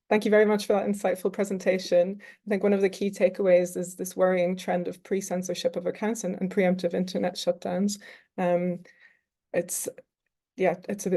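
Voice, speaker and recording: Female moderator, low, flat, mellow voice; soft-spoken, video call. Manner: soft-spoken, hesitant with fillers. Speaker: webinar moderator. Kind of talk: moderating a panel.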